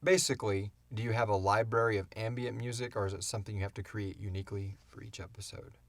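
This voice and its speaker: A man in his thirties hosting a casual chat about making an audio show, animated and informal as he tells stories and answers questions. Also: on the low side, quick pace, no room sound. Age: 30s. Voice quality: wheezy breathing. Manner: animated storyteller, acts out sounds and swears casually. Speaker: podcast host and audio drama creator.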